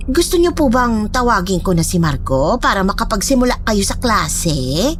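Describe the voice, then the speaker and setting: A woman's voice: mid-range voice, big pitch swings, moderate pace, a little hiss or hum, natural voice. A woman playing a polite, puzzled household nanny with a Filipino accent in a horror audio drama. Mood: confused, obliging. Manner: deferential, servile politeness.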